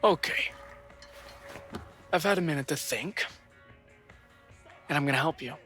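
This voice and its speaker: A man's voice: on the high side, measured pace, sharp tone, soft background music. An actor playing a stubborn, earnest young man in a video game, speaking casually and matter-of-factly. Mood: resolved. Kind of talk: video game dramatic acting.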